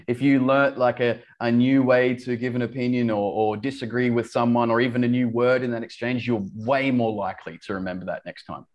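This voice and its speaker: A man's voice: medium pitch, steady tone, a little fast, hardly any pauses, muffled recording. A man with a light Australian accent that sometimes sounds English, a teacher chatting as a podcast guest, full of restarts and fillers. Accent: light Australian, partly English-sounding. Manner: chatty, frequent restarts and fillers, laughs easily. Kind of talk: casual podcast interview.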